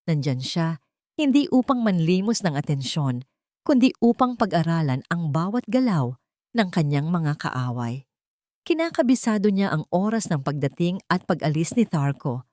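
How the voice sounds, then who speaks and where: A woman giving scripted, melodramatic narration of an audio story in a deliberate way. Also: on the low side, darkish tone, no echo, clean studio sound.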